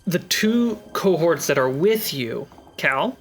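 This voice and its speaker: A man narrating a tabletop role-playing game as game master, describing scenes in a slow, measured way with occasional fillers. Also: fairly high pitch, big pitch swings.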